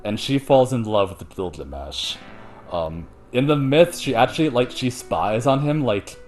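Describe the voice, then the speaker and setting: A man's voice: medium pitch, animated, a little slow, darkish tone, soft background music. A man hosting a show, retelling a story in casual, slangy, profane and jokey chat. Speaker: host retelling an ancient myth.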